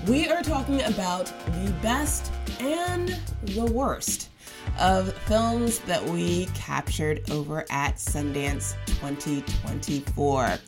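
A woman hosts a podcast in an upbeat, chatty way. Her voice is somewhat low and a little nasal from a cold. The recording has a roomy echo, with faint music underneath. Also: slow pace. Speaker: podcast host. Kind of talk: podcast intro and roundtable chat. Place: table at a film festival.

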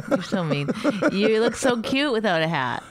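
A woman co-hosting a podcast, sarcastic and teasing with quick comebacks. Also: medium pitch, very animated. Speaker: podcast co-host. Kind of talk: casual banter podcast.

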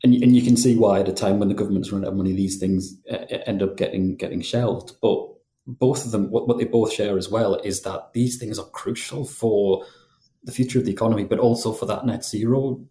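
A man's voice: on the low side, fairly even intonation, brisk, dark tone, reverberant. A man with a slight Geordie accent, co-hosting a casual news podcast, talking in a chatty way with frequent restarts. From North East England. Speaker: podcast co-host, journalist.

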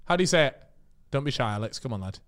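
A man's voice: mid-range voice, expressive, fast talker, a bit bright. A man hosting a podcast, telling stories in a casual, jokey, swearing way. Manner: chatty, swearing, jokey storytelling. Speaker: podcast host.

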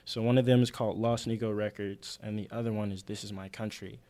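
A man's voice: lowish voice, steady tone, fast talker, dark tone. A man chatting casually as an interviewer, in a laid-back, affirming manner.

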